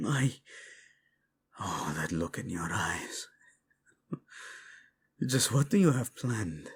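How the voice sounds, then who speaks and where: A male voice actor playing a devoted, possessive royal husband in an intimate romantic audio roleplay, with a husky low voice and formal, teasing speech. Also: animated, a little hiss or hum, heavily acted. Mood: flirtatious, yielding. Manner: slow, hushed, teasing, formal wording.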